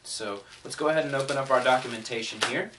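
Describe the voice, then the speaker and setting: A man's voice: mid-range voice, quick pace. A man giving a casual unboxing review, thinking aloud in a conversational way. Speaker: product reviewer. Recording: distant mic, faint noise, clicks.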